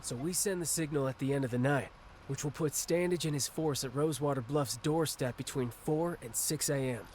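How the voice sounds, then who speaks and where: Game strategist ally, male actor: average pitch, methodical, matter-of-fact briefing delivery, light background noise. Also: a little fast, darkish tone, lightly acted. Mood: composed, serious. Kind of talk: video game dramatic dialogue.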